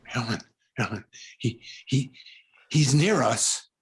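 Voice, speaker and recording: A male actor playing a tormented, unraveling concert pianist in a 1940s-style radio drama, stammering and halting in an overwrought way. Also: medium pitch, lively intonation. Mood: panicked.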